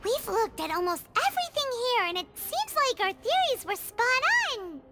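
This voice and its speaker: A woman voicing a tiny, excitable, easily scared fairy companion in a video game, with a squeaky, childlike voice and exclamatory delivery. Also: moderate pace, very noisy, heavily acted. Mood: excited. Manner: refers to self in third person, exclamatory. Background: game music.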